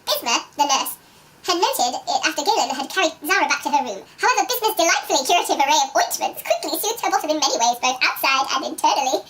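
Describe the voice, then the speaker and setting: A woman's voice: high-pitched, expressive, fast talker, a bit bright. A woman reading book excerpts aloud in a dramatic, amused style. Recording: distant from the microphone.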